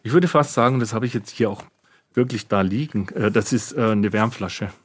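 A man's voice: on the low side, brisk, slightly rough, dry recording. A man working as a sound effects artist explains his craft in conversation, searching for his words in a studio. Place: radio drama studio.

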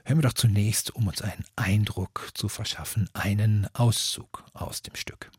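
A man's voice: on the low side, steady tone, normal speed, husky, no room sound, studio quality. A man hosting and interviewing in a formal, prepared manner. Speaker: host, interviewer.

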